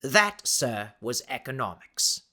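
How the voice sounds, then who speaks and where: A man playing a big, unflappable explorer in an audiobook, talking in a measured, pedantic way. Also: mid-range voice, big pitch swings, pushed and bright, full character voice. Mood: dry explaining. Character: big, unflappable male explorer, learned and matter-of-fact.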